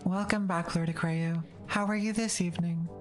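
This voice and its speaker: Woman playing a low, polite aide. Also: fairly even intonation, moderate pace, faint music behind, close to an everyday voice. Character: polite, fond female aide to a lord. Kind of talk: audio drama acting.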